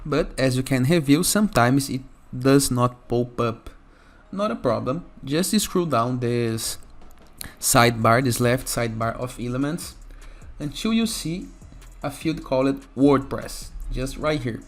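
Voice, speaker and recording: Lower-voiced male tutorial host over music. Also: animated, a little slow. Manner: instructional, often checking with "okay?". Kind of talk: software tutorial narration.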